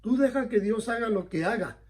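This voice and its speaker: A man's voice: high voice, brisk, a little mellow. A male pastor teaching a Bible study in an earnest, conversational way, often checking in with his listeners and correcting himself as he goes. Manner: frequent self-corrections.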